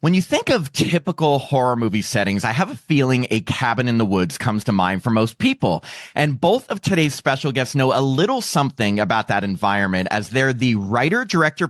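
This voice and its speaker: A man's voice: medium pitch, very animated, brisk, dry recording, studio quality. A man hosting a horror podcast, reading intros and teasing his guests in a playful, chatty way. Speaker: podcast host.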